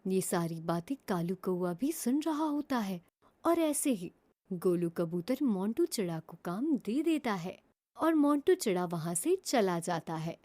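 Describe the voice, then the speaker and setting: A woman narrating a children's cartoon story, her pitch rising and falling in a lively way. Her tone is mellow and warm, and she tells it in a plain storybook manner. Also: measured pace.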